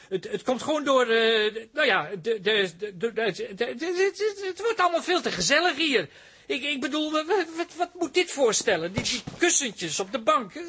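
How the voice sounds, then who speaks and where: A man's voice: extremely high pitch, sharp tone, slightly rough, full character voice. An actor playing a fussy, uptight man in a radio play, agitated and whining, easily exasperated. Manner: agitated, whining, stammering when flustered.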